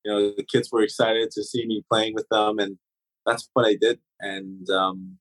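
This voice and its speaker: A man in his thirties from Turks and Caicos, a guest in an interview, telling stories in a relaxed way with many fillers and restarts. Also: fairly low pitch, moderate pace, low-quality recording. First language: German. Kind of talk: casual interview storytelling.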